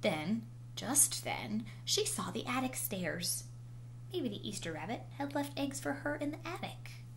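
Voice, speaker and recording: A woman reading a children's picture book aloud with animated, dramatic emphasis and drawn-out words. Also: on the high side. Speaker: storybook reader. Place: indoors, small room at home. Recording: noisy home video recording.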